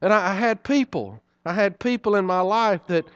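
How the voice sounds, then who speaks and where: A man's voice: high voice, moderate pace, clear, resonant tone, no echo, dull audio. A middle-aged man, preaching a sermon in a folksy, conversational way with frequent asides to the congregation. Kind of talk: sermon storytelling.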